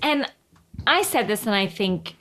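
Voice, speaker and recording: Woman with very lively, swooping pitch. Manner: halting, repeating and restarting phrases. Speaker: podcast co-host. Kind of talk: casual chat, recapping reality TV.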